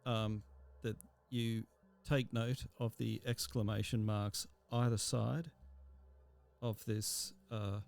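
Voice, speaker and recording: A man giving an informal software tutorial as an instructor, explaining step by step in a halting way with pauses mid-sentence. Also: lowish voice, level tone, gravelly, clean tone.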